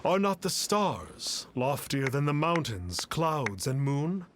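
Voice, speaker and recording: A man's voice: fairly high pitch, sing-song, takes it slowly, noisy background. A man playing a pompous self-proclaimed immortal adept in a video game, speaking in grandiose archaic phrases. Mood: lofty, smug. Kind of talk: theatrical video game voice acting.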